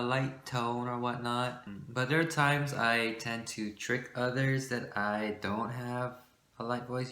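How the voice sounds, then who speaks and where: A shy young man rambling casually about his light voice, with many fillers, sometimes pushing it deeper. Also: fairly bright tone. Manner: shy, rambling, full of fillers and self-corrections; shifts between a light voice and a forced deeper one. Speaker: vlogger. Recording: echoey room.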